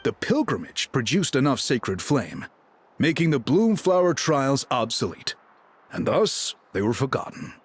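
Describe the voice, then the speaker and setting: Learned, expressive game chieftain. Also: male voice, mid-range voice, unhurried, husky. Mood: thoughtful, explanatory. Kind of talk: video game character acting.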